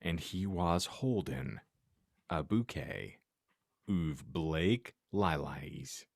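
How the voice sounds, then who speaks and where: A man's voice: low-pitched, animated, extremely slow, dry recording, clean studio sound. A man giving a comic dramatic reading of badly written fiction as a podcast co-host, tripping over words and breaking into laughter. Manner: stumbles over misspelled text, breaks into laughter.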